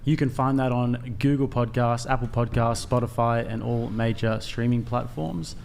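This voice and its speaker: A man's voice: mid-range voice, steady tone, moderate pace, gravelly, darkish tone, a little hiss or hum. A man in his twenties from Australia, a panelist plugging his channel in a dry, matter-of-fact way. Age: 20s. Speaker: co-host panelist, YouTuber.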